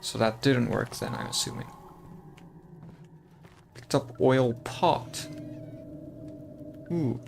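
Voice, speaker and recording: A man streams a game into a desk microphone, thinking aloud and chatting with his viewers. His voice is mid-pitched with a gravelly, fry-laden edge. A faint hiss sits under it. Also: slow pace, long pauses. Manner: chatty, thinks aloud and reads notes to chat. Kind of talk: casual gameplay commentary.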